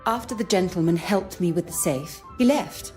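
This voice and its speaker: A woman's voice: on the low side, normal speed, darkish tone, faint music behind, close to an everyday voice. An actress playing a self-possessed, polite society woman in a mystery game, articulate and composed. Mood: curt. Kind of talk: video game voice acting.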